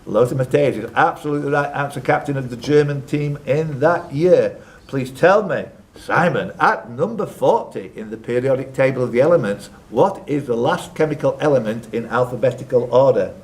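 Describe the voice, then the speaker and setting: Guy quizmaster reading questions out to contestants, jokey and teasing, mid-pitched voice. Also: expressive, fairly quick, few pauses. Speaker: quiz host and question reader. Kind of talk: live quiz hosting, reading questions with banter.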